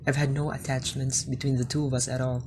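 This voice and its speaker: A young man playing a cold, careless foreign boyfriend who dumps his girlfriend, speaking low and flat, in a student radio drama. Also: a little fast, a little hiss or hum, natural voice. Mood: dismissive.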